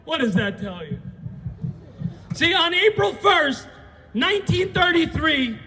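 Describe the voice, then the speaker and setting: A man delivers a fiery, sarcastic rally speech and shouts his points. His voice is very high and bright. It rings out with a long outdoor reverb. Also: very animated, takes it slowly. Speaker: activist.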